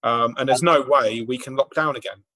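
A man's voice: medium pitch, fairly even intonation, normal speed, sharp tone, rough recording. Blunt, emphatic male podcast co-host. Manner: blunt and emphatic, with dry asides. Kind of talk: casual political chat.

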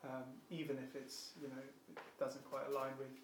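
A man speaking at a middle pitch in a reflective group discussion. He talks slowly and hesitantly, restarting sentences and leaning on fillers. The room gives his voice a roomy echo. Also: monotone, slight background noise. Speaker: discussion participant.

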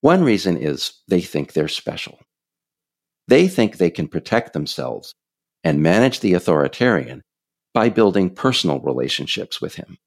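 An American man reading scripted political narration in a measured, emphatic, explanatory way. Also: low voice, sing-song, gravelly, husky. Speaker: author narrating own political audiobook.

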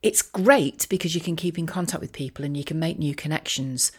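A woman talking casually in a rambling, self-deprecating monologue, with wry, gently ironic asides. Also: sits low, brisk, breathy edge. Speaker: voiceover artist and career coach sharing a personal update.

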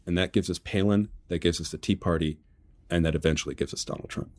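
A man speaking as an interview guest, explaining politics in a measured, analytical conversational way. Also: sits low, fairly even intonation, a little mellow, no room sound.

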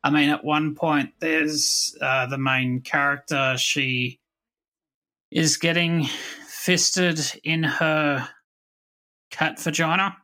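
Slow-talking man with a bright, forceful voice. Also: a little high, steady tone. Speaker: podcast host. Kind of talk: casual review chat.

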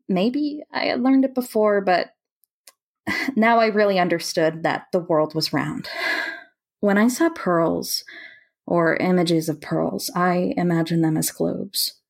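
A woman acting in an audio play as an earnest, dreamy mapmaker, speaking reflectively and pausing between phrases. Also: fairly low pitch, gravelly. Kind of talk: audio play monologue and dialogue.

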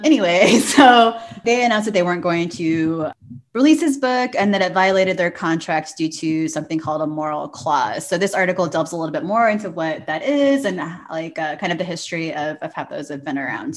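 A woman co-hosting a podcast, talking through news in an informal, conversational and hedging way. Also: lowish voice. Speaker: podcast co-host. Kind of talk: casual book news discussion.

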